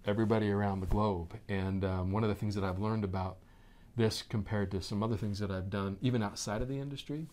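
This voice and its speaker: Male, 40s, American, lowish voice, interview guest with an informal, emphatic conversational delivery. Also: fairly even intonation, quick pace, few pauses, darkish tone. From United States. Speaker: interview guest, network marketing executive.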